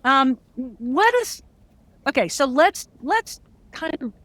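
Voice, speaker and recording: A woman's voice: sits high, very animated, slow, a bit bright, no room sound. A woman hosting a casual interview, chatting with wry, self-deprecating humor as a fellow creative. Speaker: interview host; audio drama writer and creator.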